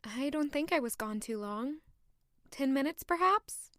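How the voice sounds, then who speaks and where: A woman playing a gentle young maid, dry close voice. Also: sits high, animated, measured pace, no echo. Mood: defensive. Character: earnest young female maid narrating her story. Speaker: maid and first-person narrator. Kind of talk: audio drama acting and narration.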